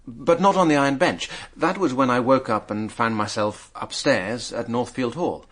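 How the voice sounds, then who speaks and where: An actor playing a hard-up, self-mocking drinker under questioning in a British radio drama, wry and hesitant. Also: male voice, medium pitch, moderate pace, a bit bright, natural voice.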